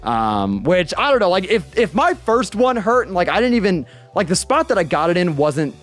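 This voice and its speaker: A twenty-year-old man chatting casually on a livestream, animated and swearing, close to a boom-arm studio mic in a small bedroom. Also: normal speed, soft background music. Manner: animated, swearing, talks with his hands. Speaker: streamer. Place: small bedroom streaming room with purple lights. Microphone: studio mic on boom arm, headphones.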